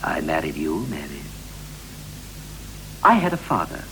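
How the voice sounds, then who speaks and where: An actor playing a wistful British husband recalling his boyhood in clipped, poetic verse with deliberate pauses, in the style of a 1960s radio play. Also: extremely low pitch, wildly swooping pitch, creaky, pushed and bright, heavily acted, poor audio. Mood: reflective, rhythmic. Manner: incantatory short phrases, deliberate pauses. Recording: vintage 1960s radio recording with hum and hiss.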